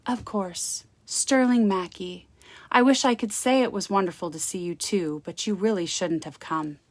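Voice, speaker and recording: A woman's voice: mid-range voice, normal speed, no room sound, close to an everyday voice. A woman voicing a cold, formal society mother in a gothic romance storybook reading. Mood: icy, disapproving. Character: icy, formal society mother guarding her grand manor.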